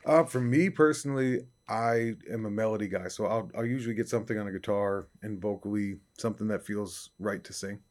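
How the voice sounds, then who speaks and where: Raspy low-voiced young man chatting easily. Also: fairly quick, hardly any pauses, a little mellow. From upstate New York. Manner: easygoing, rambling with fillers. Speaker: country singer-songwriter guest. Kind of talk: casual interview talk.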